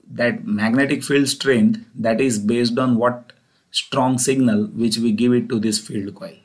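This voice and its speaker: A man's voice: mid-range voice, a little mellow. A man lecturing as an engineering teacher, explaining step by step and often repeating himself.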